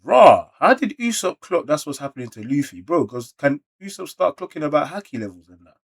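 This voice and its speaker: A man's voice: fairly high pitch, a little fast, creaky, breathy edge. London man reacting in loose street slang. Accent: London British. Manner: slangy, animated exclamations mixed with muttered asides. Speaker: reaction video creator. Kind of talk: casual manga read-along reaction.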